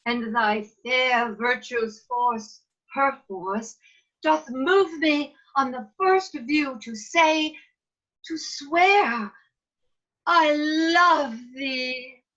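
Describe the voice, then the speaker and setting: Woman as a doting, commanding fairy queen, slow stately verse, high voice, table read, dull video-call sound. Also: fairly bright tone, heavily acted. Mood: lovestruck. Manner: theatrical, measured verse delivery. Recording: muffled video call audio.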